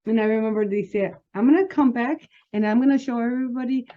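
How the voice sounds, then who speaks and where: A woman's voice: fairly high pitch, moderate pace, phone-quality sound. A woman guest chatting informally in an interview, switching between languages at times. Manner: mixes Spanish and English. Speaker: interview guest, business advisor.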